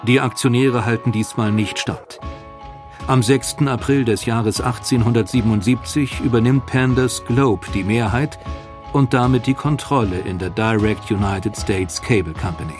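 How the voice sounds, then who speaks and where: A man narrating a historical audio documentary from a script, in a measured, articulate way. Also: mid-range voice, music in the background.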